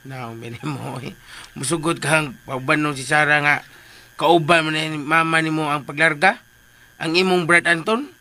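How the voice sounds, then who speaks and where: A man's voice: a little high, steady tone, moderate pace, bright, forceful tone. A man playing a folksy, deferential household helper in a radio drama, rambling and repeating himself. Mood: coaxing.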